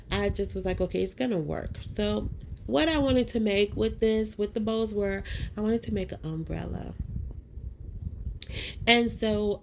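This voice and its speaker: A woman's voice: medium pitch, darkish tone, a little hiss or hum. A woman with a nasal, allergy-stuffed voice, chatting casually through a voice-over about her craft project, with lots of ums and likes. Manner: chatty and self-deprecating, many ums and likes, addresses viewers as y'all and guys. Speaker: craft vlogger.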